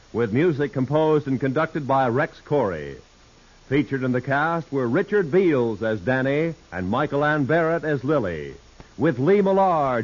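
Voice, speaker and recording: A man with an American accent announcing in formal, measured 1950s radio style. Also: medium pitch. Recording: muffled 1950s radio recording.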